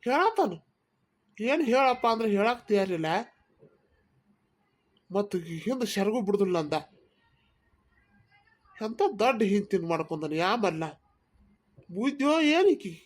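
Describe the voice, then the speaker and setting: A woman's voice: medium pitch, animated, a little slow, long pauses. Exasperated village in-law woman performer, cartoon comedy, mid-range voice, sarcastic complaining, North Karnataka accent. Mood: exasperated, mocking.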